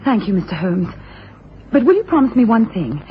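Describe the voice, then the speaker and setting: A woman's voice: on the high side, big pitch swings, fairly quick. An actress playing an earnest, anxious lady client in a radio drama, polite and eagerly insistent. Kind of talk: 1980s radio drama acting. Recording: dull old radio broadcast, faint noise.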